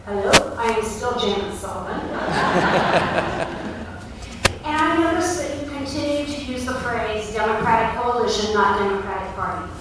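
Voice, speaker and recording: A woman's voice: a little high, normal speed, heavy background noise. A woman who chairs a local party group, speaking at a town hall in a chatty, self-joking way. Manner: chatty, joking about herself. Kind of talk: public remarks at a town hall. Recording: echoey hall mic from far off.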